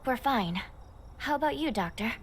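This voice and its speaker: Capable young female field researcher for game dialogue: higher register, clear and attentive, helpful explainer. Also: expressive, moderate pace, a little hiss or hum. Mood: curious, attentive. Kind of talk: scripted video game dialogue.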